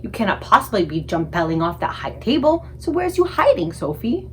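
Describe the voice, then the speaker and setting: A woman's voice: medium pitch, big pitch swings, fairly quick, a little hiss or hum, natural voice. A woman voicing a kindly, plucky male giant who speaks earnestly in muddled grammar, in a storybook read-aloud. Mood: anxious. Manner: earnest, garbled childlike grammar.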